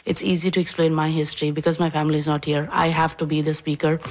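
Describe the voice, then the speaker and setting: A woman from Bangladesh in her thirties, interviewed at home about her dessert business, telling stories with animated quoted dialogue. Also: low-pitched, fast talker. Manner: animated storytelling, acts out quoted people. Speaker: dessert business owner, guest. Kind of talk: casual interview.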